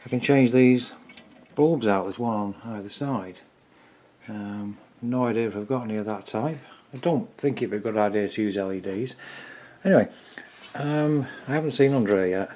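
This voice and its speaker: Male hobbyist repairer for a vlog: lowish pitch, dark mellow tone, informal, tentative think-aloud commentary. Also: moderate pace, slight background noise. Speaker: hobbyist repairer presenting own video. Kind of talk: casual hands-on repair commentary.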